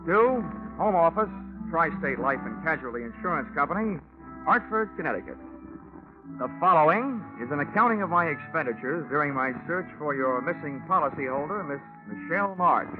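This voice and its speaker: An American actor playing a hard-boiled, wisecracking insurance investigator in a vintage radio drama, with clipped, dry delivery. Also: male voice, on the high side, expressive, moderate pace, natural voice. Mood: wry. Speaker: lead actor, narrator. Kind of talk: 1940s radio detective acting. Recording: tinny vintage radio recording, music behind.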